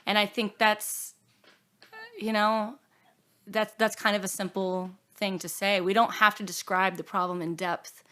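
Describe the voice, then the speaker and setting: A woman songwriter talks as a guest in a reflective interview. Her voice sits at an ordinary middle pitch. She is earnest and halting, circling back and leaving phrases unfinished. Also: little pitch movement, a bit bright. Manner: earnest, halting, with self-quotes and trailing phrases.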